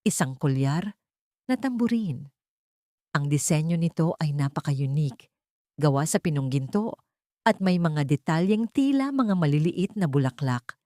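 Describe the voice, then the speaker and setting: A woman's voice: low-pitched, animated, a little slow, mellow, no echo, studio quality. A woman reading a scripted dramatic story as an audiobook narrator.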